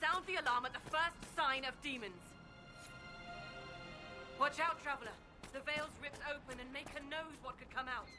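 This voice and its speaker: A woman's voice: high voice, fairly even intonation, normal speed, pauses often, natural voice. An actress playing an alarmed, commanding female guard calling out warnings in a fantasy video game. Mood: alarmed, urgent. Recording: loud game music over voice.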